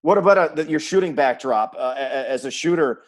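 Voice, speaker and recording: A man's voice: mid-range voice, animated, fast. A middle-aged American man hosting a conversational sports interview. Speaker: sports interview host, play-by-play announcer.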